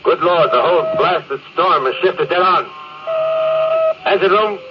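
A man's voice: on the high side, unhurried, lightly acted. A man playing an agitated spaceship officer barking clipped emergency orders in an old-time radio drama. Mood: urgent, alarmed. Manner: shouted, clipped, urgent. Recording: very noisy, muffled vintage radio recording.

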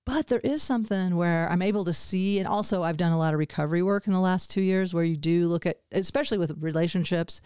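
Woman, 60s, podcast host. Smooth, lowish, supportive, dry close mic. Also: quick pace, few pauses, a little mellow, no room sound. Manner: supportive, finishes the guest's sentences. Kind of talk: casual podcast conversation.

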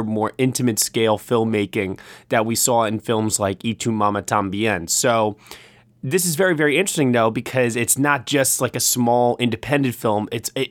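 A man's voice: medium pitch, dry recording. A man hosting a film review discussion, speaking in a wordy, emphatic way. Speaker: podcast host.

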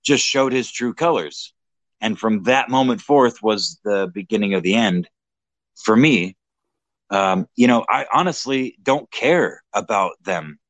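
Low-voiced young man, rambling and tipsy. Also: lively intonation, measured pace, a bit bright, no room sound, rough recording. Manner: rambling, swears often, self-mocking. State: slightly drunk. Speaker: livestream co-host. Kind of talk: casual commentary chat.

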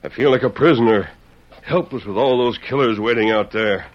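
An actor playing a brave, stoic hero posing as a Mexican revolutionary, speaking formally in an old-time radio western. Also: male voice, medium pitch, normal speed, natural voice. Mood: grave, troubled. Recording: muffled, hissy old radio recording.

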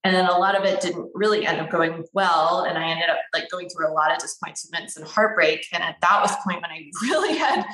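A woman's voice: fairly low pitch, brisk, hardly any pauses, fairly bright tone, far from the mic. A young adult woman, a writer and interview guest, talking casually and rambling with many fillers and restarts. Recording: roomy echo, voice set back from the mic.

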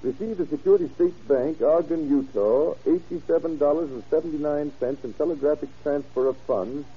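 An actor playing a helpful, chatty small-town banker who thinks aloud hesitantly, in old radio drama style. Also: male voice, fairly high pitch, a little fast, husky. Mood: musing, obliging. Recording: dull vintage radio drama recording.

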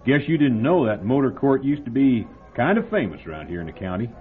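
Man voicing a gossipy rural diner owner, lively delivery, tinny old broadcast. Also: mid-range voice, brisk, natural voice. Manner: folksy, relishing a gruesome story. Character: chatty small-town male diner owner, gossipy. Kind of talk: old-time radio drama acting. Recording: phone-like old radio broadcast with faint music.

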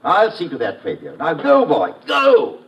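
An actor playing a tweedy upper-class British gentleman, plummy, fussy and blustering, in an old-time radio drama. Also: on the high side, wildly swooping pitch, unhurried. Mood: curt, scheming. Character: tweedy upper-class British gent, silly and two-faced. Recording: muffled, noisy vintage radio recording.

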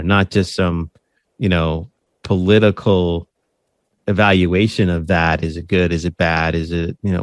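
A man's voice: low-pitched, no room sound, clean studio sound. A man talking in a casual interview about running his podcast, earnest and conversational, often checking in with "right?" and restarting his phrases. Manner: frequent restarts and repeated words. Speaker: podcast host interviewed as guest.

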